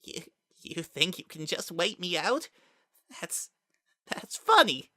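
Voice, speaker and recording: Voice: medium pitch, sing-song, takes it slowly, bright, forceful tone, no echo, clean studio sound, pitched above the performer's own voice, heavily acted. A performer voicing a bitter, mocking, anguished lost child spirit in a strained, breaking voice, as in a dramatic storybook reading. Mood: bitter taunting. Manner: taunting and wounded.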